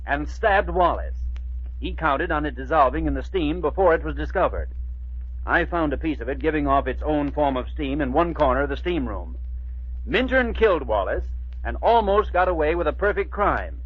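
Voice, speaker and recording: An actor playing a shrewd, authoritative police chief with a businesslike manner, in a dull old radio drama recording. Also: male voice, fairly high pitch, husky. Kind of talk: 1940s radio acting.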